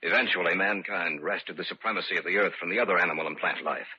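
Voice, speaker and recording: An actor playing a grave, urgent scientist in an old-time radio horror drama, intense and ominous. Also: male voice, sits low, fast, breathy edge. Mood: grave foreboding. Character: grave, urgent scientist fearing a deadly new life form. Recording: muffled, noisy vintage radio recording.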